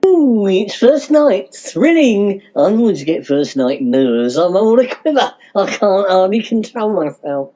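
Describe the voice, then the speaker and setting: A woman acting a fussy, theatrical pantomime trouper, lively pitch. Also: measured pace, hardly any pauses, faint music behind. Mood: excited, nervous. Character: nervous, chatty pantomime horse front-end performer, Frankie. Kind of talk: comic audio sketch acting.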